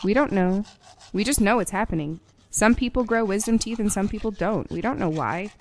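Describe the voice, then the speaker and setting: A woman's voice: mid-range voice, fairly quick, close to an everyday voice. Woman acting as a defiant daughter, cool attitude, mid-range female voice, radio drama. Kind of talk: radio play dialogue.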